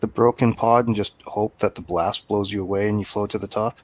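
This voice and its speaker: Folksy man with a slightly low voice. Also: fairly even intonation, a little fast, a little mellow. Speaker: guest on a movie review show. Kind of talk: casual movie review chat.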